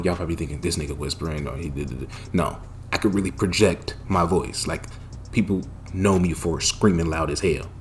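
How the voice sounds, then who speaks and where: A young man casually telling a personal story, animated and profane, with loud bursts and lots of slang. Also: sits low, slight background noise. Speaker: storytime vlogger.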